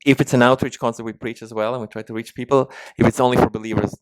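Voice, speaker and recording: A man's voice: medium pitch, level tone, very fast, dry recording, rough recording. Man, Ukrainian-Israeli accent, dry close sound, hesitant interview answers. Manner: hesitant, many restarts and fillers. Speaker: musician guest.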